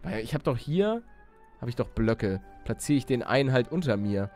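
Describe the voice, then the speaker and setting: A man doing excitable let's play commentary, exclamatory and slangy, talking to viewers and himself. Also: mid-range voice, moderate pace, faint music behind. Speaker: gaming YouTuber and let's player.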